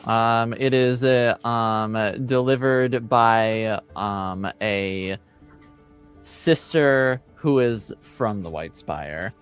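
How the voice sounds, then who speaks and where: A man narrating a tabletop roleplaying game as game master, unscripted, with a halting, hesitant manner. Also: medium pitch, smooth, faint music behind.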